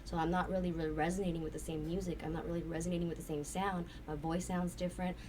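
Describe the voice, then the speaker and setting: A woman with a raspy voice, chatting candidly and at length as a guest, swearing casually. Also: on the low side, little pitch movement, fast talker. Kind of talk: casual interview chat. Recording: distant mic with faint noise.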